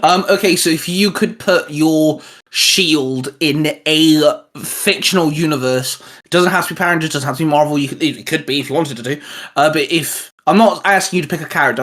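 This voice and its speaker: A man's voice: a little high, a little fast, fairly bright tone. A British man hosting a casual chat, brainstorming ideas with enthusiasm. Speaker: podcast host.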